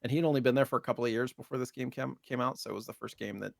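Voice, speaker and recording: A man in his thirties chats casually as a podcast co-host. His voice sits at a medium pitch in a very dry recording, and he feels his way through sentences, often tacking on asides. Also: steady tone, rapid-fire, gravelly, dry recording. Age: 30s. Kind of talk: casual retro gaming chat.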